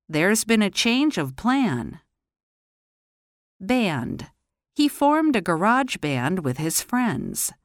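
A woman teaching pronunciation, carefully enunciating single words and example sentences in a slow, deliberate drill. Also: lowish voice, no room sound, studio quality.

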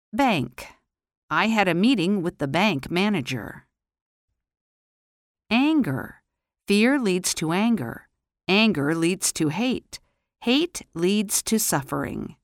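A woman teaching pronunciation, carefully enunciating single words and example sentences in a slow, deliberate drill. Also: on the low side, no echo, studio quality.

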